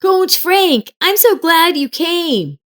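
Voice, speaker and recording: A woman's voice: very high voice, big pitch swings, slow pace, a bit bright, voice pushed up, exaggerated voice. A woman voicing a polite young orphaned boy with an earnest manner in an audio story. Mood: eager, apologetic.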